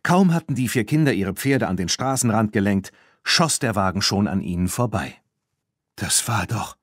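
A man narrating a children's audiobook in an animated storytelling manner. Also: on the low side, normal speed, dry recording, studio quality. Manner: animated storytelling, acting out dialogue.

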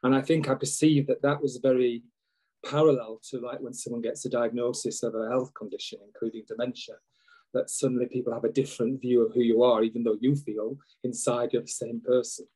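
A man's voice: mid-range voice, little pitch movement, brisk, dark tone, breathy edge, low-quality recording. A British man in his sixties speaking as a panellist on a video call, reflecting on his life in orderly points. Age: 60s. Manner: structured, numbering his points. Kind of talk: reflective personal account in a panel. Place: indoors at home on a video call. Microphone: video call microphone.

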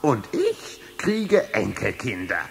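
An actor playing an excitable, doting old king in a children's audio play. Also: lowish voice, slow pace, gravelly, a loud crowd, full character voice. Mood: giddy, delighted.

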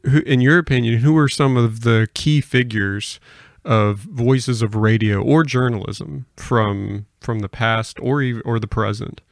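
A man's voice: medium pitch, measured pace, gravelly, dry recording. A young man hosting a podcast, asking questions in casual conversation, close on the mic. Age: young adult. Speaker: podcast host, interviewer. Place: small indoor room with bookshelves. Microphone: close podcast mic on boom arm.

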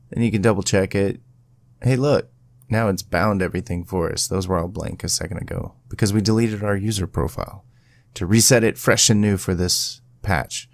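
A man presenting a casual step-by-step software tutorial in an informal, instructional way. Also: low-pitched, no room sound.

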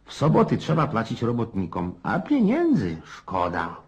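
An actor playing a garrulous, cynical old Cossack in a radio play, rough-edged and folksy, prodding his listener with questions. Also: medium pitch, huge pitch swings, moderate pace, a little mellow, highs cut off, full character voice. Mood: musing, prodding.

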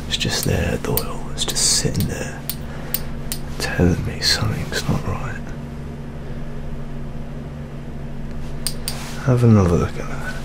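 A young man playing a brooding British police detective in an ASMR roleplay, with an English accent and a breathy, half-whispered, musing delivery. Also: low voice, heavily acted. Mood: suspicious, musing. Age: young adult. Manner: slow musing, repeating himself, colloquial. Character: brooding, gruff British male police detective at crime scene. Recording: clear steady background noise.

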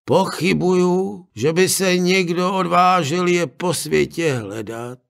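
A man voicing a cautious, motherly old maidservant in a fairy tale, speaking slowly and doubtfully. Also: sits high, vocal fry, voice pushed up. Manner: slow, doubtful.

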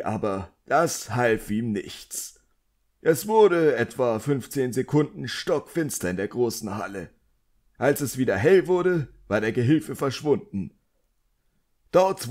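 An imperious, pompous master magician from a fantasy audiobook, played by a male narrator. He scolds and threatens deliberately in a mid-pitched voice, expecting obedience. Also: darkish tone.

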